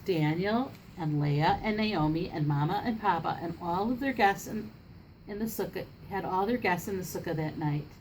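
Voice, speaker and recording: A woman's voice: fairly low pitch, normal speed, darkish tone, slight background noise, low-quality recording. A woman reading a picture book aloud to children expressively, now and then stumbling and rereading a phrase. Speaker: storybook reader for children.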